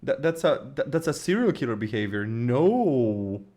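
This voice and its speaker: A man's voice: medium pitch, sing-song, moderate pace, a little mellow. A man streaming a game, reacting with jokes, stammers and the odd swear. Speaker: gaming streamer. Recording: game music behind.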